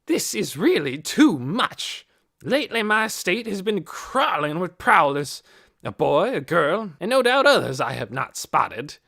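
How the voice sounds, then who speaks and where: A reader voicing a pompous indignant recluse. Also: male voice, fairly high pitch, wildly swooping pitch, unhurried, creaky, sharp tone, pitched above the performer's own voice, heavily acted. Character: tall stooped indignant older man, pompous recluse. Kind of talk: audiobook character voice.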